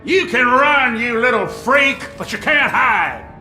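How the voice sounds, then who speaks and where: A man's voice: very high voice, expressive, takes it slowly, over music, full character voice. A man playing a belligerent, hot-headed American war hawk in a video game. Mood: threatening.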